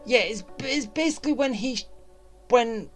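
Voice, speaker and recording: A game streamer talking casually through gameplay with music playing behind. The delivery is rambling and a little grumbly, like someone thinking out loud. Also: mid-range voice, expressive, moderate pace. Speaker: gaming streamer.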